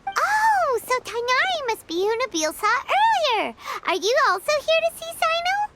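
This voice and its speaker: Tiny fairy companion, very high squeaky voice, bouncy pitch, game music behind. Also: female voice, big pitch swings, a little slow, very noisy, full character voice. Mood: excited. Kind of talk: studio game dub.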